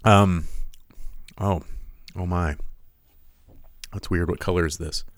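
A man's voice: deep voice, fairly even intonation, takes it slowly, no room sound, clean studio sound. A man hosting a podcast livestream in a casual, rambling way, with lots of ums and restarts and a loose, jokey tone. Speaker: podcast host.

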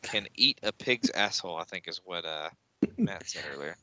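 A man with a lowish voice and a very sharp, tense edge, firing off crude, sardonic jabs. The sound is close and dry, with no room echo. It is also muffled and lo-fi. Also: lively intonation, normal speed. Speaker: podcast co-host. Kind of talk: casual watch-along commentary.

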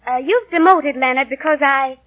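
Devoted wife acted by a woman, 1940 radio play: very high voice, earnest, persistent, courteous pleading, muffled sound. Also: normal speed, lightly acted. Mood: pleading, insistent. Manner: pleading, politely insistent. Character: earnest, persistent, devoted young wife defending her husband. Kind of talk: 1940s radio acting.

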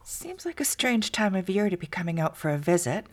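A woman playing a suspicious middle-aged farm wife in an audio drama, husky-voiced, wary and blunt. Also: fairly low pitch, big pitch swings, brisk, a little hiss or hum. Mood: disapproving. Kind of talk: naturalistic audio drama acting.

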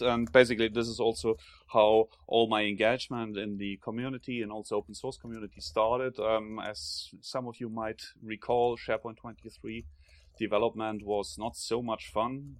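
A man's voice: fairly low pitch, steady tone, moderate pace, no echo. A man from Germany with a German accent, a guest on a podcast who talks hesitantly with many fillers. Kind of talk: casual interview talk.

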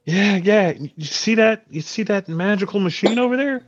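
Man playing a high-voiced, sarcastic condescending boss. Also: moderate pace, lo-fi sound. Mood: patronizing. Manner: sarcastic, stammering, repeats himself. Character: condescending male office boss at a wizard outpost. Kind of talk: improvised comedy sketch.

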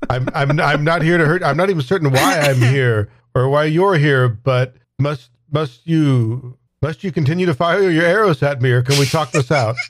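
A man playing a cautious, confused fighter in a tabletop game, halting and repeating his words. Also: on the high side, dry recording, close to an everyday voice. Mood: pleading. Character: cautious, confused male fighter stalling and negotiating.

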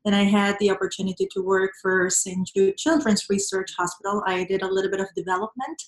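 A woman's voice: medium pitch, fairly quick. Venezuelan-accented woman in an echoey room. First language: Spanish. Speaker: interview guest, nonprofit professional. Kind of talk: conversational interview, personal storytelling.

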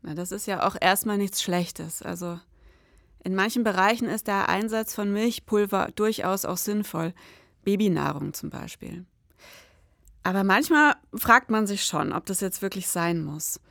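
A woman's voice: medium pitch, measured pace, vocal fry, a bit bright, no echo, studio quality. A woman co-hosting an investigative podcast in scripted dialogue.

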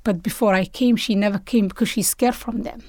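A woman's voice: mid-range voice, steady tone, a little fast, a little mellow, dry recording, studio quality. A woman from Somalia with a Somali accent, speaking earnest non-native English in short phrases as she tells her story in an interview. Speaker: interview guest, basketball coach and organiser.

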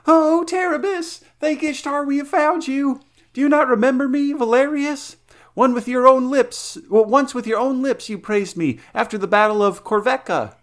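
A man's voice: very high voice, moderate pace, voice pushed up. A man voicing a desperate, loyal young male knight, speaking urgently and exclaiming.

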